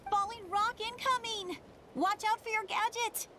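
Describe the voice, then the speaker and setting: A woman's voice: extremely high pitch, measured pace, sharp tone, a little hiss or hum, heavily acted. A woman voicing a spirited, cocky young healer and fighter in a video game, with a playful, eager manner. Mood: excited.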